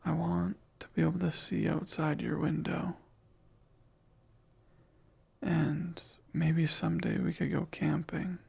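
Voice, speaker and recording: A man voicing a lonely, clingy male sleep paralysis demon in an ASMR roleplay, speaking in a soft, breathy whisper with slow, wistful musing. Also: a little high, level tone, full character voice. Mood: tender.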